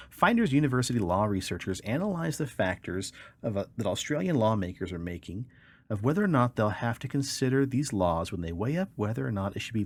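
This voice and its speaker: A man's voice: mid-range voice, slightly rough. A man hosting a podcast, reading articles aloud and chatting in an informal, colloquial way. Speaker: podcast host.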